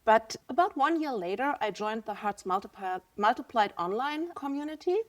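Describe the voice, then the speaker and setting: A woman's voice: a little high, expressive, moderate pace, fairly bright tone. A woman in her fifties with a German accent, a podcast guest talking candidly and reflectively about her own experience. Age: 50s. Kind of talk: casual interview talk.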